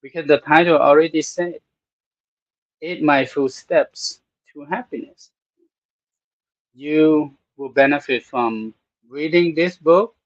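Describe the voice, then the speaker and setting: A podcast host whose native language is Thai, speaking English with a Thai accent in slow, halting talk full of "uh" pauses. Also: male voice, a little high, no room sound, highs cut off.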